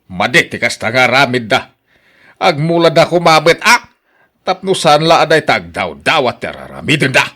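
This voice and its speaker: An actor playing a grumpy, stingy old husband in a radio drama, scolding and complaining with an Ilocano accent. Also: male voice, medium pitch, very animated, normal speed, sharp tone. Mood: indignant, grumbling. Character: grumpy, stingy old husband guarding his fruit trees. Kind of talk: Filipino radio drama acting.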